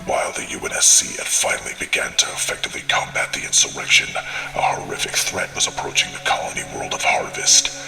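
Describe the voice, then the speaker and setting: A man voicing a stern male alien warrior who delivers a formal, measured audio log report in a gravelly voice. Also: echoey room, over music, lightly acted. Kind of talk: scripted in-character audio log narration.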